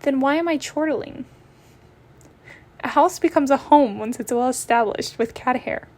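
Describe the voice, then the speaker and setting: A woman's voice: high-pitched, normal speed, darkish tone. A woman voicing a smug, lazy, sarcastic male cat from a comic, with a dry delivery.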